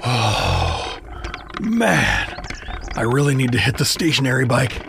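A man's voice: mid-range voice, sing-song, slow, creaky, background noise, full character voice. A man playing a bewildered, awkward middle-aged average dad in a comedic audio drama, hesitant and rambling. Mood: awkward, puzzled. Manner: hesitant, rambling, easily flustered.

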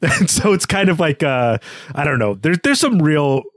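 A man's voice: a little high, very animated, normal speed, dry recording, studio quality. A man co-hosting a podcast, talking through literature in an analytical, wordy way. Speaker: podcast co-host. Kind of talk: literary discussion.

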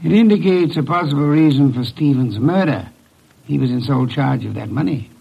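Grumpy genius detective in old radio show, highish raspy voice, talks fancy and bossy. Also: male voice, animated, brisk, exaggerated voice. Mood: measured, probing. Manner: formal, precise, curt, commanding. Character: imperious, grumpy, erudite male detective boss. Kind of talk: old-time radio drama acting. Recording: dull old radio recording.

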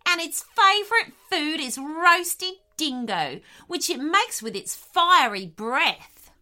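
Lady as a nervy little wombat in a kids story, high bright voice, blurts stuff out. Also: very animated, exaggerated voice. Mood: bluffing. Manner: hurried, blurting. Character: anxious, blurting wombat, small bluffing animal.

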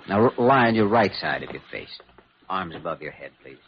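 An actor playing an earnest, calm, courteous young doctor in old-time radio drama style, measured and clinical. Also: male voice, sits low. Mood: reassuring. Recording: dull, faintly noisy old radio recording.

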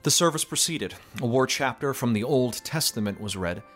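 A man's voice: mid-range voice, expressive, moderate pace, a bit bright. A man reading a literary story as narrator, in a dramatic audiobook style. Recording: music behind the voice. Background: background music.

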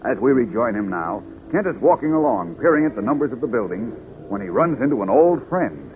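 A man's voice: medium pitch, very animated, brisk, slight background noise. A man narrating a radio serial in a dramatic, urgent, suspenseful 1940s announcer style. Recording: tinny old radio, loud music behind. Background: loud music.

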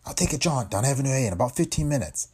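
A man's voice: mid-range voice, lively intonation, racing, a little mellow, husky, voice pushed up. A man voicing a brassy, streetwise woman tipster who talks in tough slang and calls everyone dearie. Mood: brisk. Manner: slangy tough-girl talk, keeps saying dearie.

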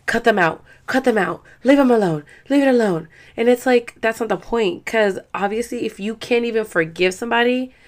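A young adult woman with a Salvadoran accent, hosting a podcast in a chatty, slangy, rambling way with lots of fillers. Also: a little high. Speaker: podcast host. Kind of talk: casual chat.

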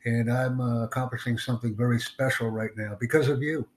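A man runs a live online English lesson over a video call. His pitch sits in an ordinary middle range, and he talks in an upbeat, repetitive way, tossing in simple Spanish greetings. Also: fairly even intonation, brisk, a little hiss or hum. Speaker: English teacher streaming a live class. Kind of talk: casual live-stream chat.

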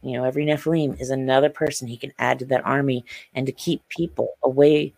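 A woman's voice: a bass voice, fairly even intonation, fast, vocal fry, a little mellow, dry recording. A woman guest on a podcast who speaks earnestly and insistently in casual conversation.

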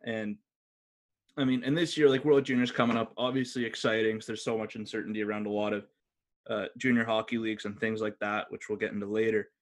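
A man with a slightly low voice hosts a sports podcast. He speaks in a casual, conversational way, as in an easy chat with a co-host. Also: level tone, fast.

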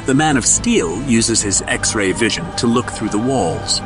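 Lower-pitched male dramatic narrator over music. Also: expressive, unhurried.

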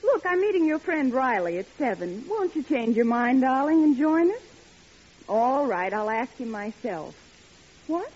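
A woman's voice: high-pitched, sing-song, measured pace, a little mellow, husky, exaggerated voice. An actress playing an eager, sweet-talking young single woman, coaxing and gushing in a vintage radio comedy. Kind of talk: 1940s radio comedy acting. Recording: muffled old radio recording.

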